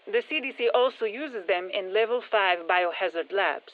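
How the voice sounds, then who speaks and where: An actress playing a brisk, sharp-minded scientist in a video game, matter-of-fact and technical, with a Spanish accent and a processed voice. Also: female voice, mid-range voice, narrow, tinny audio. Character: brisk, sharp-minded female scientist studying an alien parasite. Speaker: scientist and engineer briefing operators. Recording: thin band-limited radio, some long hall reverb.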